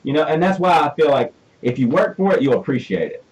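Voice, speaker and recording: Man, twenties, Southern US twang, talk-show host, chatty and animated, quick talker. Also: fairly high pitch, dull audio. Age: 20s. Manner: chatty, animated, thinks aloud with restarts. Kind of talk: casual radio interview.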